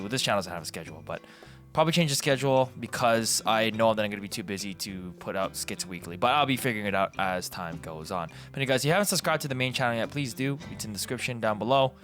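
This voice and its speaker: A man's voice: lowish voice, lively intonation, fast, fairly bright tone. Casual Canadian man over guitar music. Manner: informal, digressive, self-correcting. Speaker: video host. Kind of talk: casual rambling commentary.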